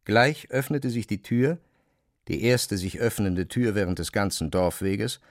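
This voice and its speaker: A man narrating a literary radio drama in German in a measured, deliberate way. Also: fairly low pitch, dry recording, studio quality.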